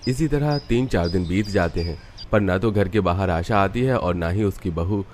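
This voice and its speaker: Low-voiced man narrating a cartoon story. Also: moderate pace, a little mellow, slight background noise.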